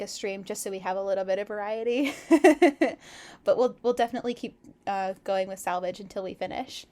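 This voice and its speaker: A woman hosting a casual livestream read-aloud, chatting and reading in an expressive, effusive, giggly way. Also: a little high. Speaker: livestream host reading fan fiction aloud.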